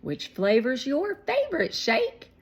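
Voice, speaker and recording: A woman's voice: high-pitched, wildly swooping pitch, measured pace, pitched above the performer's own voice, heavily acted. A woman voicing a curious, friendly young neighbor girl in a storybook, inquisitive and chirpy.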